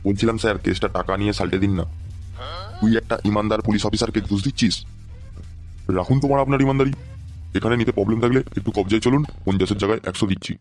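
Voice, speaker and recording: A brash, combative village man from a comedy cartoon, acted by a man. He speaks in a fairly low voice at a quick clip, full of crude slang. Faint music sits behind him. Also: fairly even intonation, a little mellow, natural voice. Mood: wheedling.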